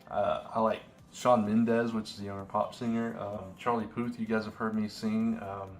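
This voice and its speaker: A slightly hoarse young man talking casually to camera in a vlog, earnest and rambling with lots of fillers. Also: fairly low pitch, flat delivery, moderate pace, soft background music. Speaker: vlogger.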